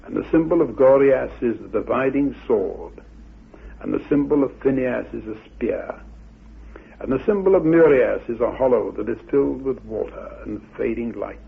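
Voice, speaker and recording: A man giving a deliberate, halting, scholarly explanation, as a researcher would in a talk. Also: mid-range voice, expressive, vocal fry, slightly rough. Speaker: researcher interviewed about mythology. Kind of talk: explanatory talk with quoted recitation. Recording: muffled phone-like old radio recording.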